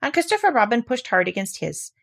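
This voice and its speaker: A woman reading a children's storybook aloud in a gentle, measured manner. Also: fairly high pitch, big pitch swings, vocal fry. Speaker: audiobook reader. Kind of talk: storybook narration.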